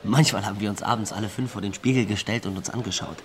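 An actor playing an awkward, loyal young small-time thief in a radio crime drama, defensive and plain-spoken. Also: male voice, fairly low pitch, steady tone, quick pace, a crowd in the background, natural voice. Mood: wry. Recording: music behind the voice.

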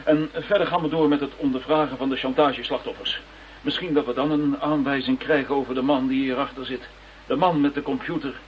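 A man's voice: mid-range voice, fairly even intonation, brisk, slight background noise, lightly acted. An actor playing an earnest detective in a radio drama, defending his case firmly and insistently. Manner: firm, insistent. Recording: dull lo-fi radio drama recording.

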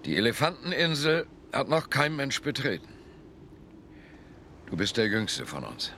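Expedition chief, authoritative, considerate, bright forceful voice, animated intonation, radio play. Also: male voice, mid-range voice, slight background noise, heavily acted. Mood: solemn, proud. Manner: authoritative, deliberate. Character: commanding, considerate male expedition leader, the Boss.

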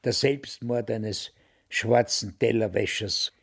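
An actor playing a cynical, grumbling widower of about ninety in a radio play, with a husky, gravelly Austrian voice. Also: male voice, fairly low pitch, slow pace, heavily acted. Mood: bleakly cynical. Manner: grumbling, blunt, dismissive.

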